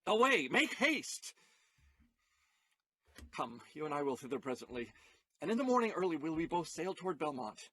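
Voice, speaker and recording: A man acting an earnest, flustered young gentleman in a Shakespearean audio play, pleading and hesitant with emphatic delivery. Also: a little high, very animated, moderate pace, long pauses, breathy edge, full character voice. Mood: urgent.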